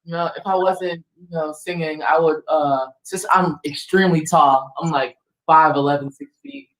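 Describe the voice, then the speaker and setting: A man's voice: fairly high pitch, steady tone, normal speed, fairly bright tone. A fourteen-year-old boy, a guest singer, chatting in an easygoing, rambling way. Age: teenager. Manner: easygoing, rambling, many fillers. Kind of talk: casual interview chat. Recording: roomy echo.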